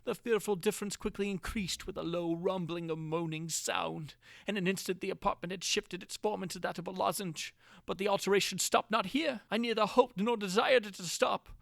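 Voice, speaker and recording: Male narrator, fairly high voice, theatrical and intense gothic horror read, very dry sound. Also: fairly even intonation, brisk, vocal fry, dry recording. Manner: theatrical, intense delivery with big swings from hushed to crying out. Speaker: narrator reading classic horror tales. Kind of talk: dramatic reading of gothic horror.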